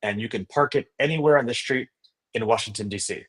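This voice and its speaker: A man's voice: medium pitch, brisk, crowd noise. A man from Indonesia, a native Indonesian speaker, telling his story enthusiastically as a guest in a casual interview, often checking in with "you know" and "right?". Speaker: podcast guest, startup founder and investor.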